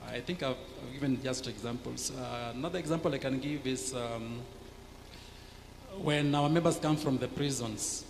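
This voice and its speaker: Gravelly middle-aged Kenyan man on a panel. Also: mid-range voice, normal speed. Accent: Kenyan English. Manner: thoughtful, hesitant fillers, gesturing. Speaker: church welfare panelist. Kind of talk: panel discussion, explaining. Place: church TV studio set, seated. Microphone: handheld mic. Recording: echoey hall with noticeable noise.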